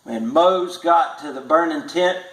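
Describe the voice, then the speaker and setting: A man reads a storybook tall tale aloud at a very slow pace. His voice is a little high for a man, with measured emphasis, and the room is clearly echoey. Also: fairly bright tone. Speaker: storybook reader.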